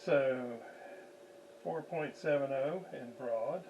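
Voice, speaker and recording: Slow, halting man in a noisy room. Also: mid-range voice, a little mellow, muffled recording. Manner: halting, thinking aloud while working. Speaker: radio repair hobbyist demonstrating alignment. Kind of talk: unscripted hands-on technical demonstration.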